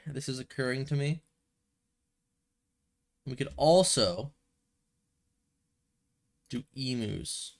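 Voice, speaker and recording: A young man with a stuffy nose streaming a building game, thinking aloud in a rambling, casual way at a desk microphone. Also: mid-range voice. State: a little stuffy from a cold. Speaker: gaming streamer. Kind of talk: casual gameplay commentary.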